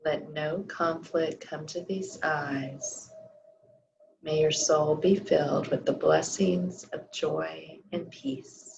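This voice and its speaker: A woman guiding a restorative yoga class in a slow, soft, soothing way while losing her voice. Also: low voice, fairly even intonation, creaky, a little hiss or hum. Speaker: yoga instructor. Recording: slightly dull lo-fi audio.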